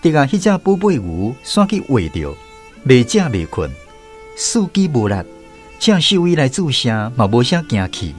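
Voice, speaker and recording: A man with a Taiwanese accent narrates a scripted radio story. His mid-pitched voice moves up and down with lively expression, over faint instrumental music. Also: normal speed.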